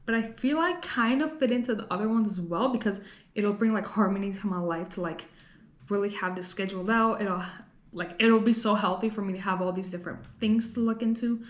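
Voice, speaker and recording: A woman's voice: medium pitch, fairly quick, echoey room. A young woman from Los Angeles talking casually to her camera in a rambling, chatty vlog, full of fillers and little laughs. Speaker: vlogger. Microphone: camera mic.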